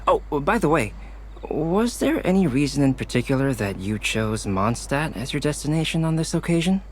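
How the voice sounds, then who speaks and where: An actor playing a calm, scholarly young gentleman in a video game, speaking in a measured, polite way. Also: on the high side, slight background noise. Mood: musing.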